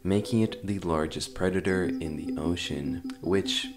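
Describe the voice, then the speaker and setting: Deep voiced guy doing scripted horror video commentary, talks casually to viewers, music going behind him. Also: a little slow. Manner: addresses viewers informally. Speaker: host of an analog horror explainer video.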